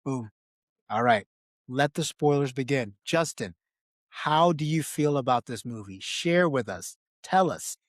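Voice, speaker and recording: A man's voice: mid-range voice, animated, unhurried, no room sound. A man co-hosts a casual movie podcast in a mid-range voice. He leans on key phrases, repeating them for emphasis. The sound is dry, with very little room in it. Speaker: podcast host. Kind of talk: casual film discussion podcast.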